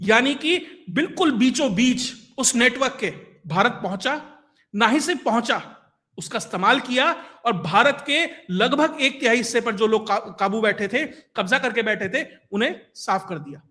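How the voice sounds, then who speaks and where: A man's voice: extremely high pitch, animated, moderate pace, pushed and bright. A man giving conversational, explanatory news commentary, emphatic, with rhetorical questions and lists. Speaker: commentator and host of an online current affairs show.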